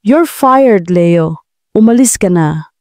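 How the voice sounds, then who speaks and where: A woman's voice: medium pitch, animated, slow, dry recording, studio quality. Woman voicing a cold, hurt boss lady. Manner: cold and clipped, sharp when angry. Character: cold, wounded 42-year-old woman boss.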